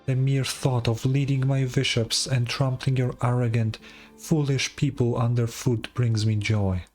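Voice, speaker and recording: A man's voice: medium pitch, flat delivery, a little mellow, soft background music, close to an everyday voice. A man voicing an ancient, proud dragon lord from a fantasy game, stern and scornful in a grand, formal manner. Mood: intrigued, regal. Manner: grandiose, formal, deliberate delivery. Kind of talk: dramatic fantasy game dialogue.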